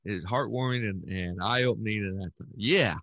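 Older man with a low voice. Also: normal speed, a bit bright, dry recording, studio quality. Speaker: podcast host. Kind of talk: casual chat.

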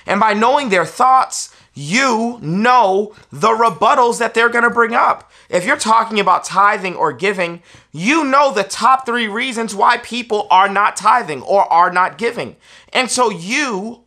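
American guy in his 30s teaching to camera, pretty high voice, emphatic preacher type who repeats his points. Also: sharp tone. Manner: emphatic, persuasive, repeats key phrases. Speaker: preacher giving a tutorial. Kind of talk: instructional talk to camera. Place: small furnished indoor room, seated on a sofa.

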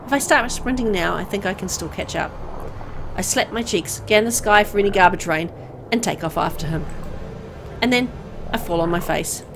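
A woman narrates a story in the first person, reading with drama. Her pitch is average, and there is noticeable noise in the recording. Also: normal speed, vocal fry. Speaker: visual novel reader.

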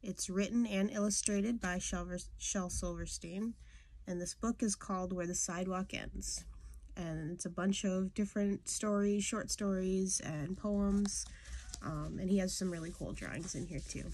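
A woman's voice: mid-range voice, measured pace, darkish tone. A young adult woman reading to children in an informal storyteller style. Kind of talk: informal read-aloud of children's poems. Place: small room indoors.

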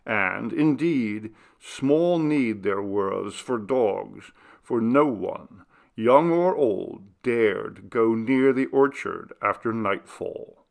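A man narrating a classic gothic story as an audiobook, in a measured, expressive literary manner. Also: fairly low pitch, gravelly.

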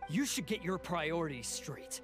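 An actor voicing a proud, bitter outcast oni demon in a video game, speaking with earnest, scornful drama. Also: male voice, fairly high pitch, sing-song, moderate pace. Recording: game music over the voice.

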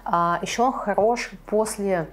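A young adult woman explaining products to camera in a measured way, as a beauty vlogger. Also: mid-range voice. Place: small furnished room indoors.

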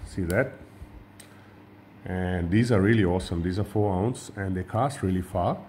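Low-voiced man teaching a fishing rig. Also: normal speed, slight background noise. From Sri Lanka. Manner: relaxed, repeats words and restarts often. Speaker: fishing channel host. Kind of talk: hands-on tutorial.

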